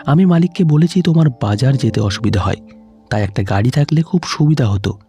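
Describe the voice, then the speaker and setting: A man's voice: medium pitch, animated, brisk, mellow, soft background music, lightly acted. A man voicing a greedy, angry husband with a boastful, threatening manner in an audio story.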